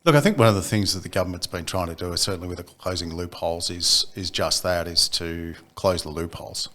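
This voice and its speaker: Low-voiced CEO, measured talk. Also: male voice, pushed and bright. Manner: measured, frequent ums and repeated words. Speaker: company CEO, podcast guest. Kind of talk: casual business chat.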